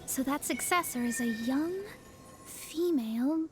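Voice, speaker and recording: A woman's voice: sits high, measured pace. A woman playing a proud, self-assured young astrologer with pompous, formal speech in an anime-style video game. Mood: lofty, lecturing. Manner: pompous, formal, elaborate wording. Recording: background music behind the voice.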